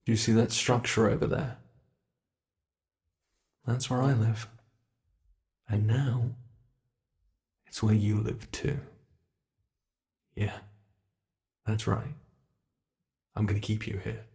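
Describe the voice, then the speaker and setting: A man's voice: lowish voice, flat delivery, slow, creaky, pauses often, a little mellow. A man voicing an ancient monster in an ASMR roleplay, a smug and possessive captor who talks in a theatrical, teasing, formal way. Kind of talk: ASMR roleplay, scripted monologue.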